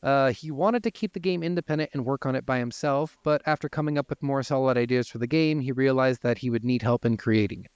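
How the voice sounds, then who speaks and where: A man's voice: medium pitch, fast, hardly any pauses, darkish tone, smooth, no room sound. A man hosting a casual gaming podcast, moving between informal, sweary chat and reading from notes. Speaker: podcast host.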